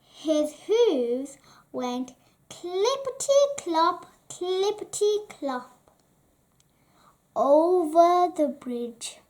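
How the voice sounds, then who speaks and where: A woman reads a children's story aloud in a high voice. Her pace is extremely slow and deliberate, with expressive scripted narration for young listeners. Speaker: children's book reader.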